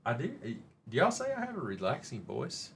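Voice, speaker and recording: A man's voice: medium pitch, huge pitch swings, brisk, gravelly, fairly bright tone, a little hiss or hum. A middle-aged man, hosting a rambling, joking, mildly profane live-stream chat. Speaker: livestream host.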